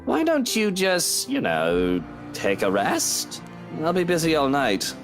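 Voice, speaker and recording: A man's voice: fairly high pitch, wildly swooping pitch, slow, music in the background, heavily acted. A man playing a charming, placating husband in a visual novel game, coaxing and playfully gallant. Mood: coaxing, evasive. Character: charming, placating British husband, sweet-talking and evasive.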